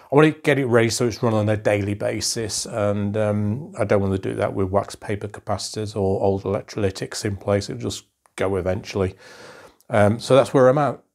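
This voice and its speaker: A middle-aged man in a home workshop talks calmly and methodically through a radio repair, with many ums and uhs. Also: lowish voice, normal speed, creaky. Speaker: electronics restorer.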